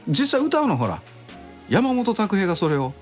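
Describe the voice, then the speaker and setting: Male podcast guest, Kansai accent, slightly high voice, hugely swooping intonation, jokey grumbler, faint music behind. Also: huge pitch swings, normal speed. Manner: jokey, colloquial, playfully grumbling. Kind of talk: casual chat.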